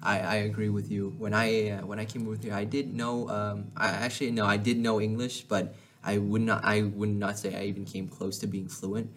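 A man's voice: on the low side, little pitch movement, a little fast, few pauses, soft background music. A young man hosting a school podcast, speaking hesitantly with frequent restarts in casual conversation. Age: teens. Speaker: student podcast host.

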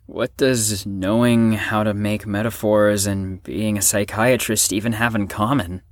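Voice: mid-range voice, fairly even intonation, measured pace, no echo, lightly acted. Guarded, sardonic patient in an audio drama, dry recording. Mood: skeptical. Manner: defensive, dry sarcasm. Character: guarded, sardonic recovering addict in a care facility.

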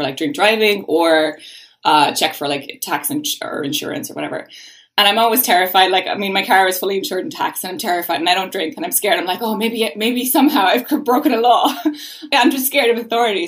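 A woman's voice: lowish voice, fairly bright tone. A young Irish woman, a law researcher, explaining earnestly in a casual podcast interview, with many restarts and fillers. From Ireland. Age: young adult.

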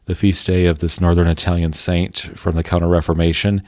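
Male priest co-host, very low voice, dry sound, wry and relaxed. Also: fairly quick, dry recording. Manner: wry, brief interjections. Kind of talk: casual chat.

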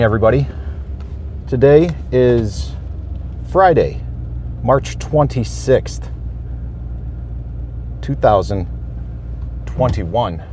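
A man hosting a homestead podcast, talking thoughtfully with pauses and fillers. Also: lowish voice, darkish tone, a little hiss or hum. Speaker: podcast host. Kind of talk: casual solo podcast talk. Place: on a homestead farm.

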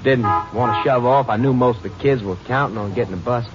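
An actor playing a folksy, talkative bus driver in a 1950s radio drama. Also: male voice, medium pitch, fast, over music, lightly acted. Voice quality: folksy, rambling. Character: chatty, easygoing male bus driver. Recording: dull old radio recording, hissy.

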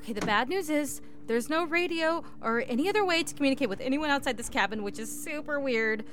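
A woman's voice: high-pitched, lively intonation, quick pace, background noise. A woman acting in an audio drama as a resourceful, reassuring hiker who rambles and swears under pressure. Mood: anxious. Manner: naturalistic, hesitant rambling.

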